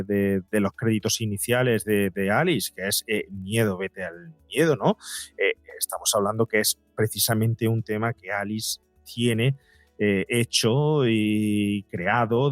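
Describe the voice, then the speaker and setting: A man speaks in a medium-pitched voice, explaining his work in an interview. He often repeats himself and rephrases mid-thought. The recording is very dry, with music underneath. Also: no echo. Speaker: podcast guest, psychologist and documentary creator. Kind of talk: conversational interview answers.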